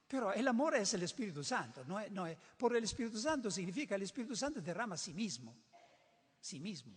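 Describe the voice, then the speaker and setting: Older non-native man, sermon, high voice, swooping lively pitch, reverberant hall. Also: fairly quick. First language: not Spanish. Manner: lively, emphatic, repeats and restarts words. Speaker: preacher.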